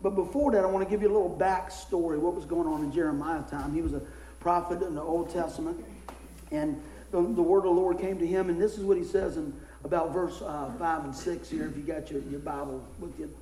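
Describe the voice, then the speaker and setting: A middle-aged man, a pastor, preaching a casual sermon with a hoarse voice that he is losing, folksy and animated in his stories. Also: fairly quick, echoey room, slight background noise, rough recording. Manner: folksy, animated storytelling with rising pitch in anecdotes. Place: church.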